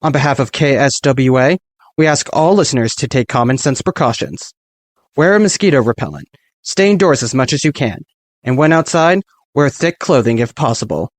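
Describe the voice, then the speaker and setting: A man's voice: mid-range voice, expressive, moderate pace, lightly acted. A man acting as an earnest local radio news anchor, reading a bulletin. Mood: serious.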